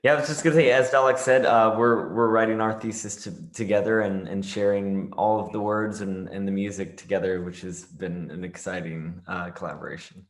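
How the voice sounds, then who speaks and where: A man's voice: lowish voice, steady tone, a little fast, few pauses, roomy sound. A young man from Tennessee, a graduate student, introducing himself in a friendly upbeat way. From Nashville, Tennessee.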